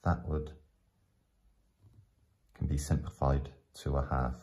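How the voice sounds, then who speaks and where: Low, slow-talking male teacher. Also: flat delivery, a little mellow. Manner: patient, explanatory, with frequent pauses. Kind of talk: step-by-step maths tutorial narration.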